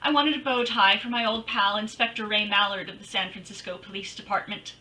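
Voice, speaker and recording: A woman's voice: fairly high pitch, bright, forceful tone, poor audio. A woman playing a breezy, wisecracking private detective in an old-time radio drama, with a sassy, slangy delivery.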